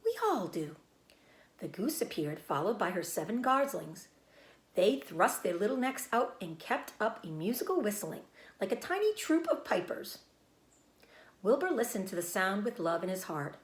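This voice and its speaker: A woman's voice: mid-range voice, big pitch swings, normal speed, roomy sound. A woman narrating a children's story, reading aloud in scripted storybook style.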